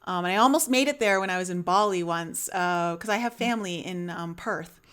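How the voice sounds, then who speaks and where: A woman's voice: fairly low pitch, a little fast, fairly bright tone, clean tone. A woman hosting a podcast, chatting casually with a guest and rambling with many fillers and restarts. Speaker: podcast host. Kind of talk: casual interview chat.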